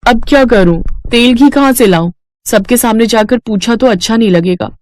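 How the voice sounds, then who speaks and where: A dutiful young bride from a moral bedtime tale, acted by a woman storyteller. She speaks high, politely and earnestly, with pious humility, in a dry close recording. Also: moderate pace, darkish tone, no echo, lightly acted. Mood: worried.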